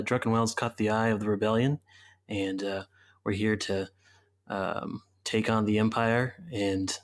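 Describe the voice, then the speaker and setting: A man's voice: lowish voice, natural voice. A man playing a friendly, earnest rebel agent in a tabletop game, with a hesitant delivery.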